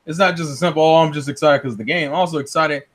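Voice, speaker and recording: Fast-talking, blunt man on a podcast. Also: on the high side, steady tone, fairly bright tone. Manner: blunt, argumentative, profane. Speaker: podcast co-host. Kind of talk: casual, opinionated podcast banter.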